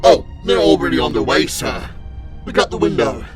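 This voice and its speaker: A man's voice: mid-range voice, moderate pace, lightly acted. A man playing a wry, dutiful security officer with a matter-of-fact manner in a fan audio drama. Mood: dry, respectful. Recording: music behind the voice.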